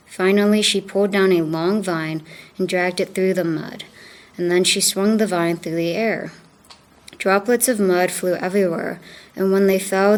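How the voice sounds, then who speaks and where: A woman reading a creation myth aloud in a careful, measured way. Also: lowish voice. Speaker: student reader.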